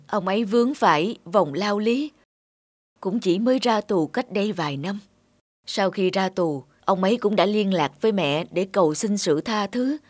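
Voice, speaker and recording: An actress playing a gentle, forgiving middle-aged mother in a cartoon drama, with a soft, imploring manner. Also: mid-range voice, no echo, close to an everyday voice. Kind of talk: animated drama dubbing. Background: music.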